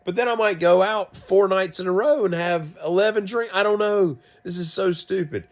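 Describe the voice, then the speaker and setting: A man with a deep voice, sick and coughing, telling a rambling, wry story in a vlog. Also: moderate pace. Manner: wry, self-mocking, meandering asides. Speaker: vlogger.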